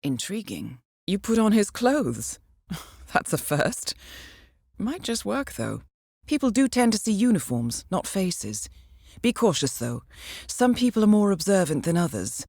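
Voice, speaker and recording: A woman playing a composed, calculating intelligence handler in a video game, giving measured, precise mission briefings with dry, understated wit. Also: medium pitch, sing-song, gravelly, darkish tone, no echo, exaggerated voice. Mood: wry, composed.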